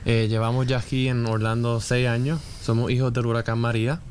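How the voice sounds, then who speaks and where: A church elder with a Puerto Rican accent speaks in an earnest, calm way. His voice is mid-pitched, with faint noise in the background. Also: brisk. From Puerto Rico. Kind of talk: casual testimony.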